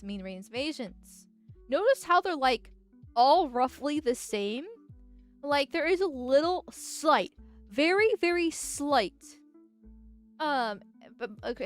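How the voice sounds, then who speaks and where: Brief: woman streamer, nasal and high-pitched, rambling, giggly, faint music behind. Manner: rambling, giggly, with frequent filler words. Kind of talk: casual livestream chat.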